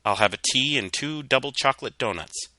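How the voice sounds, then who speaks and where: A man voicing a courteous, particular male pony customer, brisk and precise as he talks. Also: medium pitch, animated, pushed and bright, dry recording, pitched above the performer's own voice. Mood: crisp, polite.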